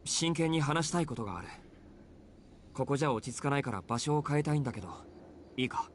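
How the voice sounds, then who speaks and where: A man's voice: on the high side, expressive, very fast, slight background noise, close to an everyday voice. A man playing a blunt, exasperated, sensible teenage boy in a Japanese visual novel. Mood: weary, serious.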